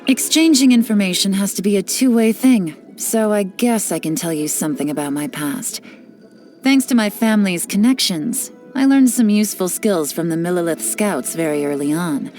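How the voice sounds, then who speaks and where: An actress playing a cool, cunning female spy and information broker, speaking with confident, sly, measured delivery in game voice lines. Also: mid-range voice, lively intonation, a little mellow, faint music behind, close to an everyday voice. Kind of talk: scripted character voice lines.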